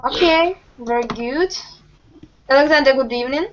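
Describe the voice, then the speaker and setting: A young woman teaching an online English lesson, asking questions slowly and patiently over a webcam video call. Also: high voice. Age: young adult. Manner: slow, patient, encouraging questions. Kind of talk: online group language lesson. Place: home room with bookshelves. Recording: muffled video call audio.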